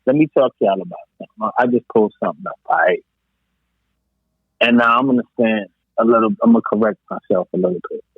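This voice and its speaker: A man's voice: medium pitch, gravelly, no room sound. A man from New York on a podcast, debating music casually and explaining his points emphatically, repeating himself for emphasis. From New York, United States. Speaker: podcast co-host. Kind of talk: casual debate about hip hop. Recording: slightly dull, lo-fi podcast audio.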